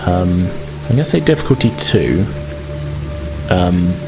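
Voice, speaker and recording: Low-voiced man running a tabletop game over music. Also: lively intonation, unhurried. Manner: hesitant, improvising, conversational. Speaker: game master. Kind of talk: narrating a tabletop roleplaying game. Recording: loud music and rain ambience behind. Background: music, rain sound effects.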